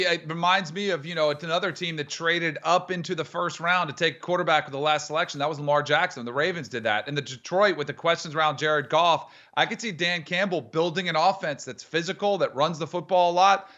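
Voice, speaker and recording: A man giving animated football analysis on a studio sports show. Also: high voice, muffled recording. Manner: animated, comparison-heavy analysis.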